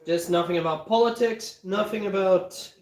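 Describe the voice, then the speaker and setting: A man's voice: high-pitched, normal speed. Rambling man losing his voice. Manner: rambling, frequent throat-clearing, easy laughter. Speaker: livestreaming home cook. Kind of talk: casual livestream chat. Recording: echoey room, mic at a distance.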